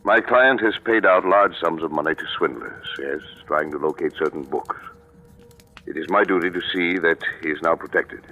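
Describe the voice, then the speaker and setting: Very deep, blunt radio attorney. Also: male voice, moderate pace, pushed and bright. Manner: brusque, clipped, officious. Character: brisk, blunt, self-important male attorney. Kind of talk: 1940s radio drama acting. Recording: dull vintage radio recording, faint music.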